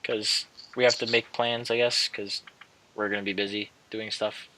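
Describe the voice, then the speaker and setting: A man's voice: on the low side, flat delivery, moderate pace, sharp tone, poor audio. A young man hosting a gaming channel, chatting casually in a rambling, hesitant way that often repeats and trails off. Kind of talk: casual rambling video outro.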